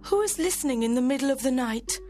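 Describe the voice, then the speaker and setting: Juliet is a lovestruck young girl, earnest and pleading, in a storybook reading. Her voice sits high and yearning. Soft music plays behind her. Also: animated, quick pace. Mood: anxious. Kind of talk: audiobook drama reading.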